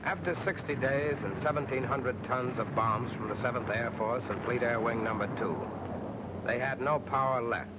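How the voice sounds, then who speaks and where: A man's voice: medium pitch, moderate pace. An American man narrating a scripted 1940s wartime newsreel in a punchy, colloquial, patriotic style. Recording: noisy 1940s film soundtrack with artillery.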